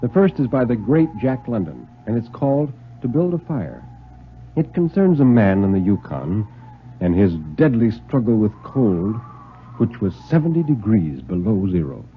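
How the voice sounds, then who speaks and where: A man giving a theatrical, deliberate dramatic story reading with precise diction, in 1950s radio style. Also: fairly low pitch, very animated, slight background noise. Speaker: dramatic reader on a radio show. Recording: muffled vintage radio recording, music.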